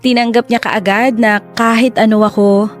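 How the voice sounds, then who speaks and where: A man's voice: high voice, little pitch movement, normal speed, faint music behind, close to an everyday voice. A man playing a reflective twenty-eight-year-old man who narrates his love story in a Tagalog radio drama. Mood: reflective, confessional.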